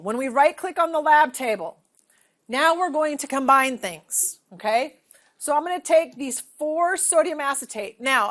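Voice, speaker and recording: A woman in her forties leading a hands-on classroom demonstration, asking the room questions and checking in with "okay?". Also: sits high. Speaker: teacher trainer. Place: large training room.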